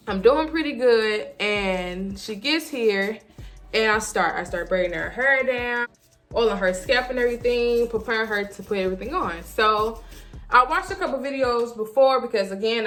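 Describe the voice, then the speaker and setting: A young woman telling a casual story to viewers in an animated way, acting out her own reactions and quotes as she goes. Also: mid-range voice. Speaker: vlogger.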